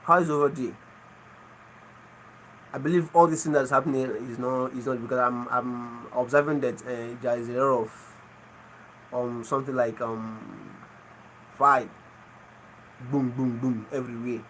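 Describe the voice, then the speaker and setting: A man's voice: medium pitch, steady tone, a little slow, pauses often, a bit bright, low-quality recording. A Nigerian man with an Igbo first language talks casually to his viewers on a livestream. He repeats each phrase and keeps asking people to explain what they mean. A faint air-conditioner hum sits behind him. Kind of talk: casual live chat.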